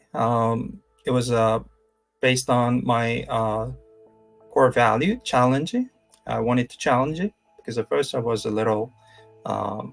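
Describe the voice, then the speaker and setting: A man in his thirties from South Korea, speaking English with a Korean accent as a career coach giving a live-streamed lecture, halting with many fillers and restarts. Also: mid-range voice, gravelly.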